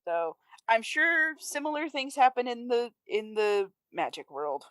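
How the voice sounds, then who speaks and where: A woman's voice: on the high side, big pitch swings, a little slow, a bit bright, clean tone, dry recording. A woman co-hosting a casual fan podcast, talking conversationally and acting out imagined quotes. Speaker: podcast co-host.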